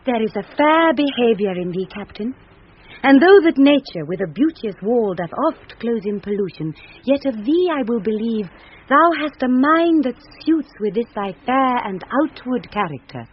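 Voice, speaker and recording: A woman's voice: fairly high pitch, expressive, normal speed, natural voice. Woman playing an earnest British noblewoman, muffled vintage radio. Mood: resolute. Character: shipwrecked young noblewoman, earnest, grieving, resolute. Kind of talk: 1970s BBC radio Shakespeare acting. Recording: dull, noisy vintage radio recording.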